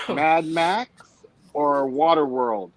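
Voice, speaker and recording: A man in his forties in a casual group chat, animated and fond of rhetorical questions. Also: a little high, very slow, slight background noise. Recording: dull lo-fi audio.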